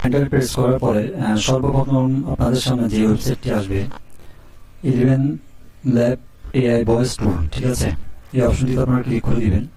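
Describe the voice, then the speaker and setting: A man hosting a step-by-step software tutorial. He keeps checking in with the viewer as he explains each click. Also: medium pitch, a little fast, reverberant, far from the mic, low-quality recording. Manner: steady instructional, frequent "ঠিক আছে?" check-ins. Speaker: tutorial host. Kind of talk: screen-recorded software tutorial.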